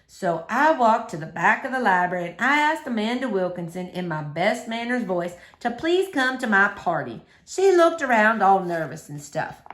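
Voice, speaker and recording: A woman narrating a children's story aloud in an expressive storytelling style. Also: medium pitch, moderate pace, few pauses, echoey room. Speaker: storybook reader.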